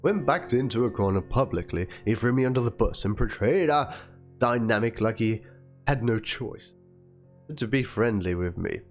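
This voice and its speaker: A man's voice: medium pitch, animated, normal speed, natural voice. A man doing a dramatic reading as a wounded young woman, plaintive and theatrical, spelling out chat slang. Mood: hurt, accusing. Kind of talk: dramatic reading of a written document and chat logs. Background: guitar music.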